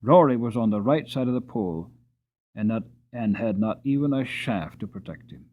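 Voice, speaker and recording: A man reading a storybook aloud as a dramatic, expressive audiobook narrator telling a tale in the first person. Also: fairly low pitch, narrow, tinny audio. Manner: expressive, slowing on sad passages.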